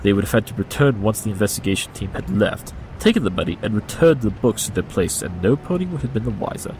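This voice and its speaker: Man playing an aloof, low-voiced detective pony. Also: lively intonation, darkish tone, a little hiss or hum, poor audio. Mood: analytical. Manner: measured, deductive, condescending. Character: aloof, brilliant male pony detective, confident and smug. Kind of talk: audio drama acting.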